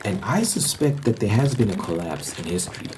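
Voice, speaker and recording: A man with a very deep voice delivers an opinionated ASMR ramble. He talks haltingly and repeats phrases to stress them. Clear background noise with keyboard typing runs behind him. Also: very animated. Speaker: ASMR host.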